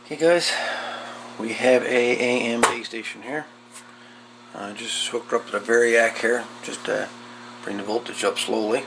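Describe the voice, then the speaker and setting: A man giving a casual, folksy, hands-on repair walkthrough, talking in short, unhurried pieces. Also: medium pitch, fairly even intonation, vocal fry. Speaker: host of a radio repair channel. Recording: loud background noise with clicks.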